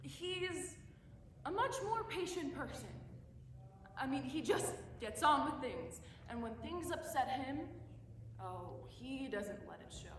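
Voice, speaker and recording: A young woman performing a dramatic monologue as a remorseful mother writing to her estranged son, speaking haltingly with stumbling restarts. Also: sits high, expressive, fairly bright tone, full character voice. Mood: regretful. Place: competition room, indoors. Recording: echoey room, distant mic, faint background hum.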